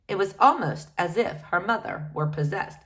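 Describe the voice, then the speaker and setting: A woman reads a children's novel aloud in a middle-pitched voice. Her intonation swings widely from line to line, and she lifts or drops her voice to give each character their own sound. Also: lively intonation, moderate pace, fairly bright tone, soft background music. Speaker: reader.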